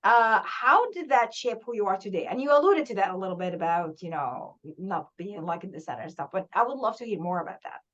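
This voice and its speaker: A woman's voice: mid-range voice, animated, fairly quick, hardly any pauses. A woman hosting an interview, affirming her guest and talking casually with frequent fillers. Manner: warm, affirming, frequent "you know" fillers. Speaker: podcast host, interviewer. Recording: slightly dull audio.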